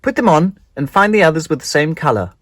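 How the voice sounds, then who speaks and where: Storybook schoolmaster, played by a narrator: firm, orderly, projecting to a class, pitched up and animated. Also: quick pace, no echo, clean studio sound. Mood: instructing. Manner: clear, instructing, projected. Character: male primary school teacher, firm and orderly.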